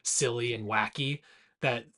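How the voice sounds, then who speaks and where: A man's voice: mid-range voice, fairly even intonation, unhurried, bright, forceful tone. A man co-hosting a podcast, discussing movies informally and rambling as he corrects himself mid-sentence. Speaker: podcast co-host.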